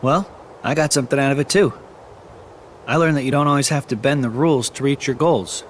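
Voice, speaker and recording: A man's voice: mid-range voice, a little fast, a little hiss or hum, close to an everyday voice. Young male game hero, acted by a man: sincere, serious, medium register, understated delivery. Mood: reflective. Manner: earnest, restrained. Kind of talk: video game voice acting.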